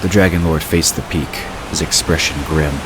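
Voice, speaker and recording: Very deep male fantasy narrator. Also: fairly even intonation, normal speed, noisy background. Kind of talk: scripted fantasy story narration.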